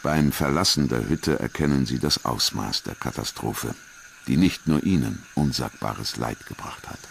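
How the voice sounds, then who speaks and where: A man reading scripted documentary narration in a measured, grave manner. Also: a bass voice, gravelly, a little hiss or hum.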